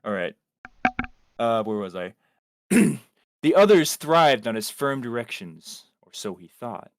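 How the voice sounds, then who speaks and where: A man's voice: medium pitch, very animated, unhurried, no room sound, clean studio sound. A man reading a piece of fan fiction aloud to friends on a livestream, theatrically, now and then stumbling over words.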